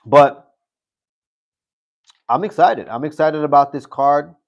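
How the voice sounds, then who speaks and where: A man's voice: a little high, takes it slowly. Rambling man on a lo-fi stream. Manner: rambling, repeats himself, fillers and profanity. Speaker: livestream host. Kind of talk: casual live-stream commentary.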